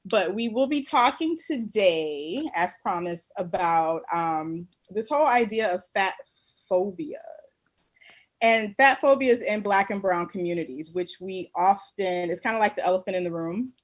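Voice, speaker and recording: A woman's voice: mid-range voice, lively intonation, measured pace. A woman co-hosting a casual live talk stream over a video call, bubbly and laughing often. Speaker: talk show co-host. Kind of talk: casual livestream chat.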